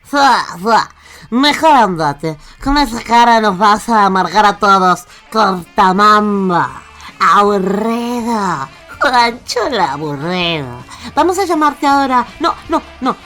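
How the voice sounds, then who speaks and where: Woman playing a slow-talking, tipsy, bubbly Argentine slug. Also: mid-range voice, a bit bright. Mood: mocking. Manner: teasing, bubbly, pushy. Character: tipsy, cheerful, carefree female slug who loves partying. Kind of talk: radio fable acting with music bed.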